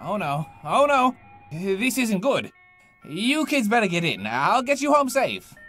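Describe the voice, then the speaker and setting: A creepy ice cream man, acted by a male narrator, pitched high and swinging wildly up and down. He coaxes children with singsong, fretful concern, like a visual novel villain, over background music. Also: very animated, measured pace, fairly bright tone, exaggerated voice. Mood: fretful coaxing.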